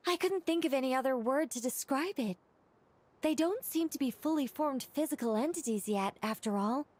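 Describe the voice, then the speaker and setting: A high, youthful female scout in a video game. She sounds earnest and dutiful, delivering her words as a report. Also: a little mellow, no room sound. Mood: apologetic, explaining.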